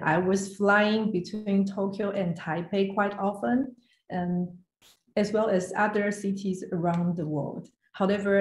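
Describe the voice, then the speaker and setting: A woman's voice: mid-range voice, a little mellow, slight background noise. Echoey woman presenting. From Taiwan. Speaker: finance executive, guest speaker. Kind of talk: prepared online presentation. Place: indoor room on video call. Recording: roomy, echoey online call audio.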